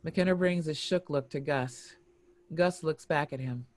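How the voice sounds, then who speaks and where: A narrator reading screenplay action and scene headings in a deliberate, expressive storytelling manner. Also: mid-range voice, dry recording. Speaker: narrator reading stage directions.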